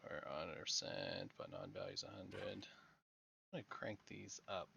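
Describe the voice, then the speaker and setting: Croaky low-voiced man streaming a game. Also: normal speed, slight background noise. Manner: relaxed, laughing easily while narrating his building. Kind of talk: casual live gameplay chat. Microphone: headset microphone. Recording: dull headset mic sound.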